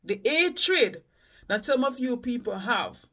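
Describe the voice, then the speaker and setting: A man from the Caribbean hosting a reaction commentary, frank and earnest, speaking in measured terms. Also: extremely high pitch, very animated, sharp tone, far from the mic. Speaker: reaction channel host.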